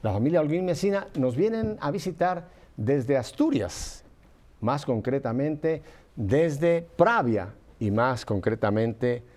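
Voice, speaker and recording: A man from Mexico hosting a religious talk show, interviewing guests in an expansive, animated way with playful asides. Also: mid-range voice, takes it slowly. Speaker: host of a faith talk show.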